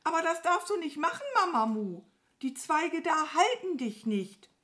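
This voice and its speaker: A bossy, excitable storybook crow, acted out by a woman. The voice sits high and swings widely, with an agitated, scolding manner that frets over everything. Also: a little slow. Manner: agitated, scolding, stammers when alarmed. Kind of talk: children's storybook character voice.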